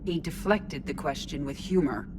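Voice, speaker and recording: An actress playing a curious, logical artificial intelligence in a robot body in a video game, speaking formally, literally and with composure. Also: female voice, sits low, moderate pace, noisy background, close to an everyday voice. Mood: earnest, inquiring. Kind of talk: video game dialogue acting. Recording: clear ship ambience behind voice.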